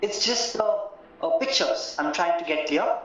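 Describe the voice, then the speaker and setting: A man acting a courteous, formal solicitor in a radio play, deliberate and a little hesitant. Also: on the high side, expressive, a bit bright, a little hiss or hum. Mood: calm, reassuring. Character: courteous, measured English male solicitor, formal and reassuring. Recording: roomy, distant, muffled, music underneath.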